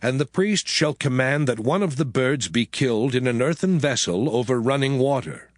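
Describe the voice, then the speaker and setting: A man reading scripture aloud in a measured, solemn recitation. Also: mid-range voice, lively intonation, no echo, studio quality. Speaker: scripture reader. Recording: faint background music.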